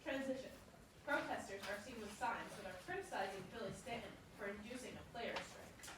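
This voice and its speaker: A woman's voice: medium pitch, a little fast. A woman reading stage directions as scripted narration. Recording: very echoey, distant, clear noise.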